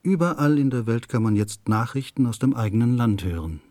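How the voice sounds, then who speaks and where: A man reading a translated voice-over of an interview in a steady, plain way. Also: mid-range voice, brisk, no echo.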